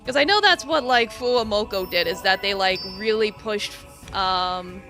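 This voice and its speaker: A woman's voice: mid-range voice, lively intonation, moderate pace, a bit bright, faint music behind. A woman streaming a game, chatting casually with viewers in a giggly, rambling way full of fillers. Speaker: VTuber streamer. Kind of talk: casual live-stream chat while gaming.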